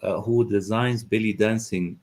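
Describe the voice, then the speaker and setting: A man from Egypt, hosting a live interview over a video call, hesitant and pausing often. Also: fairly low pitch, fairly even intonation, a little mellow. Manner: hesitant, many uh fillers. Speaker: interviewer, host.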